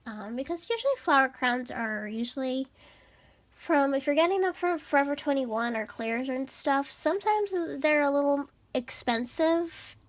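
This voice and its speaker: A young woman vlogger with a raspy, stuffed-up voice, chatting bubbly and casually through a shopping haul. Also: sits high, animated, normal speed. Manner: bubbly and chatty, many fillers. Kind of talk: casual haul vlog chat.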